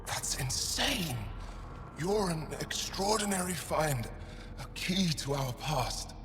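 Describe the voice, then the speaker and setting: A man playing an excitable, awestruck alien in a video game, emphatic and emotional. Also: on the high side, slow pace, in a large hall. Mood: awed, excited. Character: excitable, awestruck male alien eager to recover his past.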